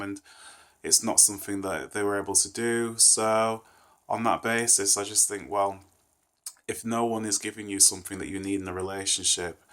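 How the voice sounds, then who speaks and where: A man in his thirties sits with a camera and talks his thoughts through in a thoughtful, meandering way. His voice sits deep and stays level, with little rise or fall. A cold leaves him sounding congested and nasal. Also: fairly bright tone. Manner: thoughtful, rambling, earnest. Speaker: vlogger. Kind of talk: casual reflective rant to camera.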